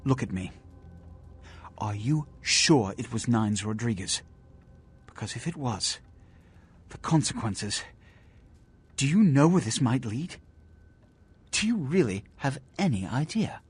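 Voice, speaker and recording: Imperious vampire prince, dramatically animated. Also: male voice, medium pitch, takes it slowly, pauses often, breathy edge, exaggerated voice. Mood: stern, urgent. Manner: formal, haughty, theatrical. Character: imperious, scheming vampire prince, a polished commanding ruler. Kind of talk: video game character acting.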